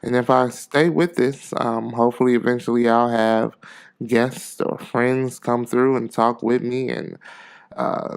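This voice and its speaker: Stuffy-nosed man, clear-voiced podcast monologue. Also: medium pitch. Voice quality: stuffy, congested nose. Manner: earnest, self-motivating, repeats phrases for emphasis. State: getting over a cold. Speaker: podcast host. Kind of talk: rambling, casual motivational monologue.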